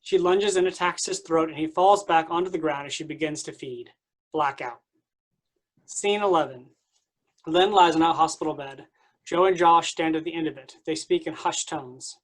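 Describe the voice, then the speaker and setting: Higher-pitched man reading stage directions. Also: moderate pace. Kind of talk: scripted narration.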